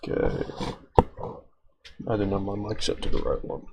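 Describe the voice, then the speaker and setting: A man's voice: low voice, big pitch swings, normal speed, vocal fry, dark tone, a little hiss or hum. A man streaming from home, a little nasal while recovering from an illness, rambling to himself as he sets up his stream. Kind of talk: casual stream setup chatter.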